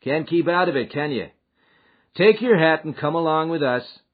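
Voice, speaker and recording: A man's voice: a little high, expressive, moderate pace, narrow, tinny audio, pitched above the performer's own voice. A man voicing a commanding, mocking police captain in an audiobook. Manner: mocking, firm. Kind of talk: audiobook character acting.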